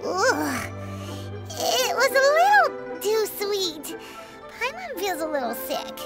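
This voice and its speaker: A woman's voice: extremely high pitch, very animated, measured pace, loud music behind the voice, exaggerated voice. A woman voicing a tiny, chirpy, bossy childlike fairy companion in a video game. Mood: queasy, breezy. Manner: refers to herself by name. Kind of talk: animated video game voice acting.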